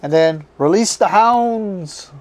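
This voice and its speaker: A man hosting a casual card game unboxing, his voice strained and tiring as he reads card names aloud in short halting bursts. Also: high voice. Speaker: board game channel host.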